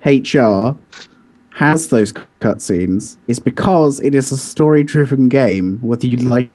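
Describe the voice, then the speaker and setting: Relaxed, mellow man arguing about games. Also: mid-range voice, measured pace. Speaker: podcast co-host. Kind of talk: casual debate about video games.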